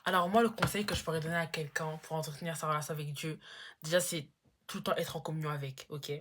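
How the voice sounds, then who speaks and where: Teen girl rambling through a q&a vlog, lots of fillers, kinda low voice. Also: fairly even intonation, fast, fairly bright tone. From Congo-Brazzaville. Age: teens. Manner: chatty, lots of fillers, slangy. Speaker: YouTuber. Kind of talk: casual Q&A vlog.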